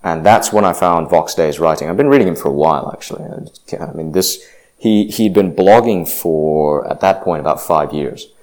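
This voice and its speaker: A man's voice: low voice, moderate pace, a little mellow. A man giving a reflective personal testimony, hesitant and full of fillers and restarts. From grew up partly in Indonesia.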